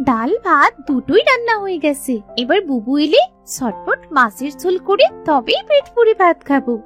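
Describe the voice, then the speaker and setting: Woman acting a childlike village girl, cartoon little sister, very high voice, swooping intonation, insistent, pleading. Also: very animated, moderate pace, faint music behind. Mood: eager. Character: stubborn, food-loving younger village sister, a girl. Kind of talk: animated moral story voice acting.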